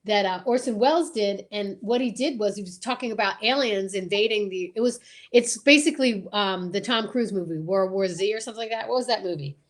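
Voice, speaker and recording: A woman's voice: medium pitch, a little fast. A woman with a mid-range voice talks casually as the host of a chat. She is enthusiastic and leans hard on her words, often repeating them for emphasis. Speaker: host of a radio drama group's live chat.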